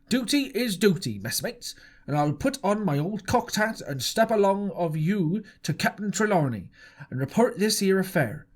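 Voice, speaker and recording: A man voicing a jovial one-legged old sea cook, boisterous and wheedling, full of sailor slang. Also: high-pitched.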